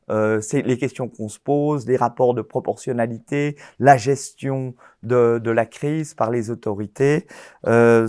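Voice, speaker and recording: A man hosting a film festival interview, speaking formally and haltingly, with many pauses, fillers and restarts. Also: mid-range voice, a little mellow, clear, resonant tone, no room sound, clean studio sound.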